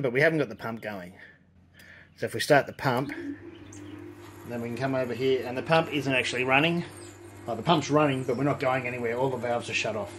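Middle-aged man, mid-pitched, easygoing hands-on demo host thinking aloud, faint room noise. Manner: relaxed, explanatory, thinking aloud while working. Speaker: home brewing presenter. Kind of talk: casual hands-on demonstration. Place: indoor home brewery.

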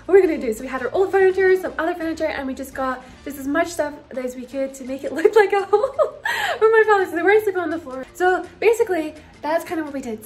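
A young woman in her twenties giving a casual, giggly house tour to her viewers, chatting into a phone held at arm's length in a bedroom. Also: high voice. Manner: giggly, bubbly, lots of "like" and "you guys".

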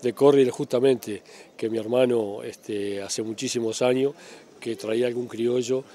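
A man's voice: mid-range voice, steady tone, a little slow, mellow. An older man with a Uruguayan accent giving an interview outdoors into a handheld microphone. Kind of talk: spoken interview answer. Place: outdoors at a showground.

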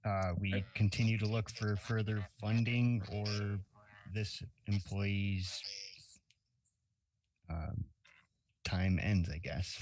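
A man's voice: lowish voice, steady tone, slow, pauses often, a little mellow, no room sound, poor audio. A man, a county official, answering meeting questions in a matter-of-fact way. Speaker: county conservationist.

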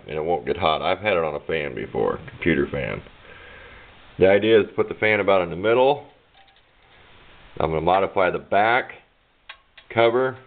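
A plainspoken, rambling man giving an informal hands-on walkthrough of a DIY project, recorded indoors on a handheld camera mic. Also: low voice. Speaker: DIY electronics hobbyist and presenter. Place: indoors at a home workbench. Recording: muffled, narrow phone-like camera audio.

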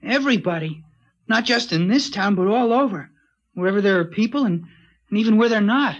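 A musing teenage boy in an old radio play, his voice notably high. He speaks in an easygoing way, and the broadcast sound is muffled and dull. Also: animated, a little fast. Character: thoughtful teenage boy, the friend Howie. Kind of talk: 1950s radio drama acting.

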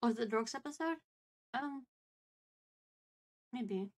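A woman's voice: fairly high pitch, expressive, slow. A woman co-hosting a livestream, chatting casually and picking her words carefully and hesitantly. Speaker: streamer and co-host answering viewer questions.

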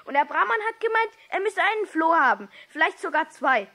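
A woman's voice: very high-pitched, very animated, a little fast, bright, forceful tone, full character voice. A woman playing an eager, devoted schoolboy in a 1960s German radio play, speaking earnestly. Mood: excited, happy.

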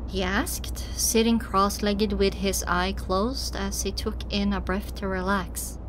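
A woman's voice: medium pitch, level tone, slow. A woman narrating a scripted first-person story as an audiobook reader. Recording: clearly noisy background.

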